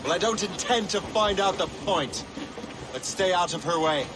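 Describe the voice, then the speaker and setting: Male actor as world-weary ship's captain, dry, understated, fairly high voice, game cutscene, sea noise behind. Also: normal speed, close to an everyday voice. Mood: resolute. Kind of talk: video game cutscene acting.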